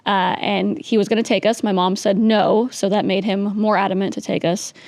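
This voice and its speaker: A woman's voice: medium pitch, steady tone, vocal fry, darkish tone, no room sound. A young woman from New York City, a Russian native speaker, telling her story as an interview guest, chatty and full of "like" and "um". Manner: chatty, quick, peppered with "like" and "um".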